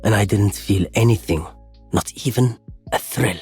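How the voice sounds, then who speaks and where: Low, husky, cold-blooded killer. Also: male voice, normal speed, a little mellow, soft background music. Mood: cold, menacing. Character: cold, menacing male killer agent.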